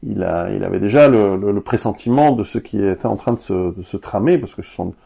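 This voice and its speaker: Guy musing as a guest, deep-ish and gravelly. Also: brisk, warm tone. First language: Italian. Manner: reflective, thinking aloud. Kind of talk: conversational interview.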